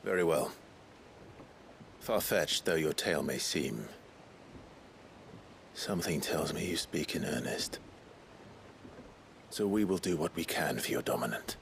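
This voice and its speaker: An actor in a video game cutscene playing a world-weary outlaw leader, grave, guarded and dryly formal, with a gravelly voice. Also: male voice, extremely low pitch, lively intonation, fairly bright tone, a little hiss or hum, heavily acted. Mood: earnest, resolute. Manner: measured, dry, formal.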